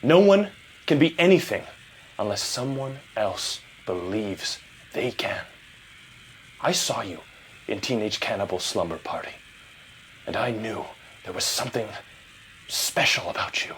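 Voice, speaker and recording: A man's voice: lowish voice, lively intonation, measured pace, pauses often, fairly bright tone, slight background noise, poor audio. Man playing a menacing, theatrical movie star, wildly swooping. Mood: grandiose, intense. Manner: theatrical, seductive, shifting from whispers to bursts. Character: smug, menacing male movie star, delusional about becoming a tiger. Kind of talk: audio drama acting.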